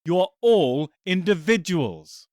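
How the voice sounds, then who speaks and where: A man's voice: sits high, animated, takes it slowly, darkish tone, dry recording, studio quality, voice pushed up. A man voicing an eccentric male Time Lord hero, earnest and emphatic, with a touch of impatience.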